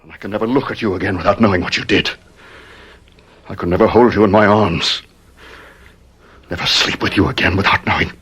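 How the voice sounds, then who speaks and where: An actor playing a proud, jealous husband in a radio drama, melodramatic and anguished. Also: male voice, deep voice, steady tone, brisk, long pauses, a bit bright, breathy edge, full character voice. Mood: murderous fury.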